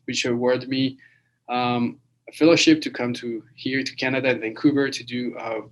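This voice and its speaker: Man, monotone delivery, halting speech full of fillers, video call lecture. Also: mid-range voice, poor audio. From France. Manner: halting, many fillers and restarts. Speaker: guest lecturer. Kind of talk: webinar lecture.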